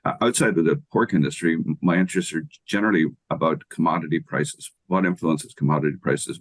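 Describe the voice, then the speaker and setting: Irish man in his sixties, very deep low voice, dry close mic, measured and thoughtful explainer, interview guest. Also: dry recording. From Ireland. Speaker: guest expert, economics professor.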